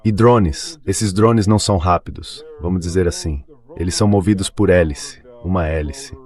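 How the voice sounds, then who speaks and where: A man's voice: deep voice, moderate pace, darkish tone. A man voicing a dubbed translation of an interview guest, explaining things in a conversational, anecdotal way.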